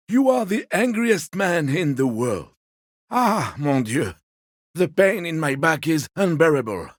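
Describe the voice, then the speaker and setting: An actor voicing a gentle, sociable elderly man in a video game, courteous and wistful, teasing softly and taking his time. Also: fairly high pitch, husky, no room sound, studio quality, heavily acted. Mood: pained. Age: 70s or older. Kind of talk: video game character dialogue.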